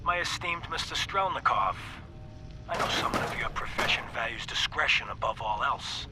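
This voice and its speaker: An actor playing a cocky, menacing crime boss dictating messages in a video game, theatrical and sardonic with emphatic pauses. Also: male voice, animated, loud music behind the voice, natural voice. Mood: mocking admiration. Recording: noisy taped message with rumbling ambience.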